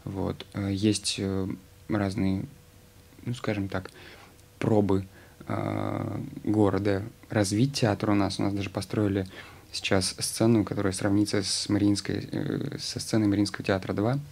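A man's voice: lowish voice, level tone, measured pace, vocal fry, darkish tone. A man in his thirties, an actor interviewed in a studio, talking at length with many hesitations. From Russia. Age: 30s. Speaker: guest actor. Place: studio with logo backdrop. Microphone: studio mic on stand.

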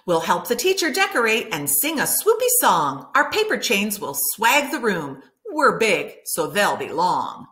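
A middle-aged woman reads a children's picture book aloud like a teacher, animated and clearly enunciated for young listeners. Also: mid-range voice, measured pace, hardly any pauses. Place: room at home by a window, indoors.

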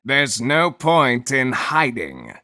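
A cold, contemptuous male villain from a video game. His voice is forceful and dry, with a slow, deliberate delivery. Every taunt sounds arrogant and threatening. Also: mid-range voice, huge pitch swings, no room sound, full character voice. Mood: cold contempt.

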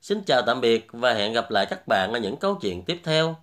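Male storyteller for scripted fairy tales, medium-pitched, very lively intonation. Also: moderate pace. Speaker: storyteller, host. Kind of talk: scripted fairy-tale narration.

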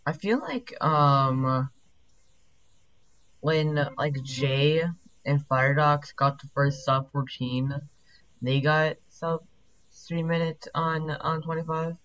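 A young man chatting casually while speedrunning a game, rambling with lots of fillers and quick asides, his voice sounding deep. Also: fairly even intonation, fairly bright tone, low-quality recording.

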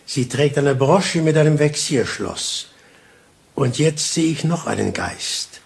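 Police inspector, radio crime drama. Fairly high male voice, deliberate, taunting, coolly in command. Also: animated, vocal fry, exaggerated voice. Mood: menacing, accusing. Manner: deliberate, theatrical, insinuating. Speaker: actor. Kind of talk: German radio play acting.

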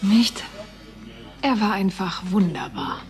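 Schwärmerische junge Studentin, mittlere Stimmlage. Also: female voice, mid-range voice, sing-song, slow pace, soft background music. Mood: admiring, defensive. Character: admiring, easily impressed young female law student. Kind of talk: naturalistic German radio play dialogue.

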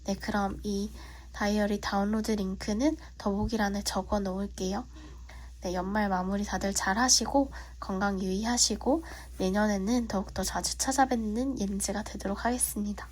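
A young woman with a cold and a husky, slightly whispery voice, politely walking viewers through a planner in a relaxed vlog with frequent fillers. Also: medium pitch, moderate pace. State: has a cold. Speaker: vlogger. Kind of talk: casual tutorial walkthrough. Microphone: phone.

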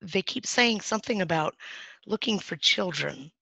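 A woman acting as a frightened young girl in a first-person dramatic monologue, telling it in a halting, hesitant way with many restarts. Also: fairly low pitch, lively intonation, vocal fry, sharp tone, breathy edge, no room sound, full character voice. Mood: uneasy. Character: frightened young girl hiding in her bed. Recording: dull, dry lo-fi recording.

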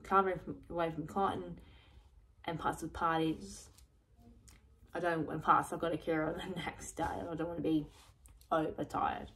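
A woman talking in a rambling personal vlog, repeating herself often, with a voice going croaky. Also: deep voice, a bit bright, slight background noise, poor audio. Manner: repetitive, loose, restarts and repeats herself often. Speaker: vlogger.

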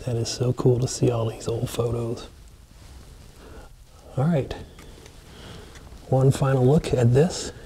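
A man excitedly narrating a walkthrough of an empty mansion, chatting to viewers as he films. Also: medium pitch, slow pace, long pauses, slight background noise. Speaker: abandoned places explorer. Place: large empty mansion, indoors.

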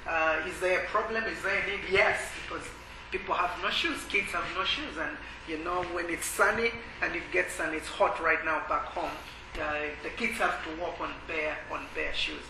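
A man from Malawi tells his story at a church workshop, his voice pitched fairly high and pausing often on filler sounds. The room around him rings with echo. A steady noise sits under the talk. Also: vocal fry. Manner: hesitant, many filler sounds. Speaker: ministry worker. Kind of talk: workshop testimony. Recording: echoey room with background noise.

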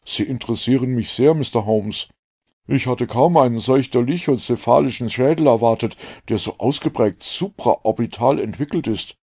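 A man voicing an eager, talkative young gentleman scientist in an audiobook, effusive and fussily precise. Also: mid-range voice, moderate pace, slightly rough. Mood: gushing fascination.

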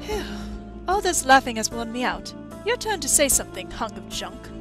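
A scornful female scientist from a video game, played by a woman, speaks in a high voice with mocking disdain. Music plays clearly behind the lines. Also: sing-song, moderate pace. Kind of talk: fan dub of a visual-novel video game.

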